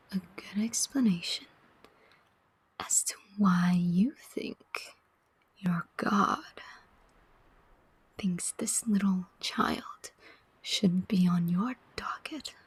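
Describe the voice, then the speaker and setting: A cunning female demon played by a woman speaks in an ASMR roleplay. Her voice is almost entirely breathy whisper, with very little clear tone. She moves extremely slowly and deliberately, taunting her listener as she goes. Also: mid-range voice, no echo, full character voice, poor audio.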